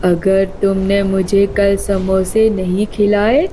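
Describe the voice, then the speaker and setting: A greedy cartoon vulture, acted out by a woman, bullies and demands in a menacing manner. The delivery is unhurried and the tone mellow, over faint background noise. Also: medium pitch, little pitch movement, pitched below the performer's own voice, close to an everyday voice. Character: greedy bullying vulture demanding samosas, threatening.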